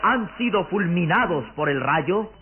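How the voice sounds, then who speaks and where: Thin radio sound with strong music behind frames a male narrator. He reads in a high-pitched, dramatic voice, in the scripted style of an old mystery serial. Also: animated, a little slow. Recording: tinny lo-fi radio recording, loud music.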